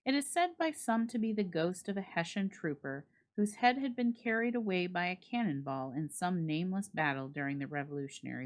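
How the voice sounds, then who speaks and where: A woman reads a literary story aloud as a dramatic narrator, with measured, expressive phrasing. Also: lowish voice. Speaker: library staff reader and host.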